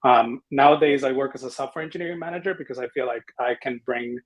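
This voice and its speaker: A man's voice: mid-range voice, fast. A man from the Dominican Republic speaking as a panelist, casually and enthusiastically introducing himself. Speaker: panelist, software engineering manager.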